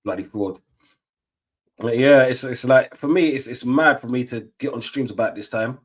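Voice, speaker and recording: A man's voice: medium pitch, normal speed. A middle-aged man, chatting casually on a football watch-along stream, rambling with lots of fillers and restarts. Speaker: stream host.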